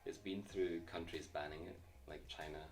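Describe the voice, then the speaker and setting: Deep man, quiet and off-mic. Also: fairly even intonation, moderate pace. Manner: confident, emphatic, some restarts. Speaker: guest. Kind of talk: casual interview chat. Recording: faint voice from far off the mic, light music.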